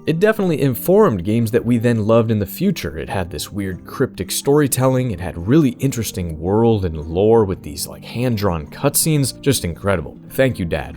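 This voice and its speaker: A young man from Northern California hosting his video game channel, giving a relaxed, slangy update and answering viewer questions. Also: lowish voice. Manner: laid-back, conversational, slangy.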